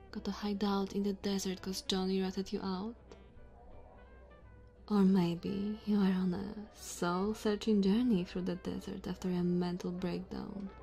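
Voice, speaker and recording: A woman's voice: mid-range voice, darkish tone, close to an everyday voice. A woman playing a sassy, flirty diner waitress in an ASMR roleplay, teasing and chatty. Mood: playful, curious.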